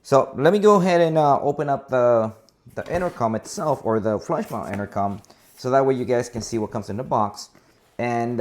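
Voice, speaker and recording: A man's voice: medium pitch, a little mellow. A man working as an installer gives a casual, unscripted product walkthrough, hesitating and often correcting himself as he repeats words. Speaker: installer presenting a product demonstration. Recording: noisy, with clicks and handling clatter.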